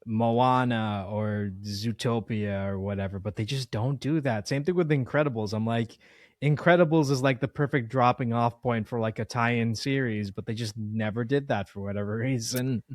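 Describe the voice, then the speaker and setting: A man's voice: medium pitch, moderate pace, dry recording, studio quality. A man hosting an interview in a casual, informal, conversational way. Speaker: podcast interviewer.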